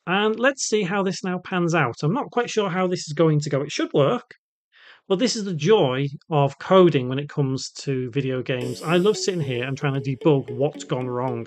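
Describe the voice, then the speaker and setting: A tutorial host talking casually and conversationally, thinking aloud through an unscripted coding walkthrough. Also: mid-range voice.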